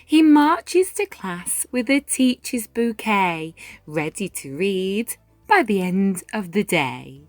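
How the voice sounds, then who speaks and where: A woman reading a rhyming children's picture book aloud, with measured, dramatic phrasing and deliberate pauses. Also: medium pitch, big pitch swings, dry recording. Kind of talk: expressive children's read-aloud narration in rhyme. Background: music.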